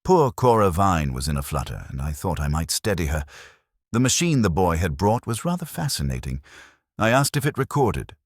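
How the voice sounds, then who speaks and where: A man's voice: deep voice, big pitch swings, moderate pace, no echo, studio quality. A man voicing a composed, affable small-town woman with a calculating, wry and genteel manner.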